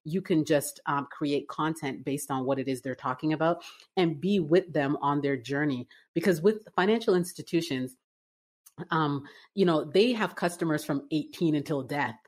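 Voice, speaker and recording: Woman from Canada, low voice, chatty, fillers and restarts, interview guest. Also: normal speed, a little mellow. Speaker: guest, writing business owner. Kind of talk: casual interview conversation.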